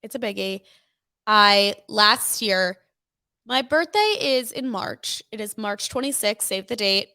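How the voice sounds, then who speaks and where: A woman's voice: mid-range voice, lively intonation, a little slow, sharp tone, no room sound, clean studio sound. A woman in her twenties from New Jersey, co-hosting a casual chat show with a chatty, self-mocking manner. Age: 20s. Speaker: co-host.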